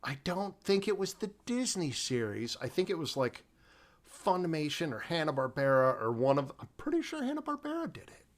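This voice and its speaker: A man's voice: fairly high pitch, big pitch swings, fairly quick. A man co-hosting a podcast, giving wry commentary in a casual conversation about films. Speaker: podcast co-host.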